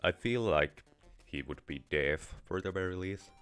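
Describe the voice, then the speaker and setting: Very deep-voiced Finnish-accented gamer. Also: male voice, lively intonation, measured pace, fairly bright tone, clear, resonant tone, soft background music. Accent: Finnish, with American and slight Russian touches. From Finland. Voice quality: rambling, wry, swears casually. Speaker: let's play streamer. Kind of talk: casual gameplay commentary.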